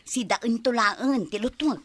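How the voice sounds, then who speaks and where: An actress with a Filipino Ilocano accent playing a dramatic, complaining village wife in broad radio comedy. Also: female voice, on the high side, unhurried, breathy edge, dry recording. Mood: gossipy.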